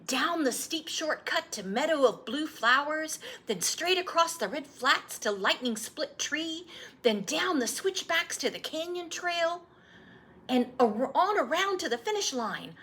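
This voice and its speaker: A woman's voice: sits high, expressive, measured pace, fairly bright tone, pitched above the performer's own voice. A woman voicing an eager, proud young boy with breathless, earnest emphasis in a storybook read-aloud. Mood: excited.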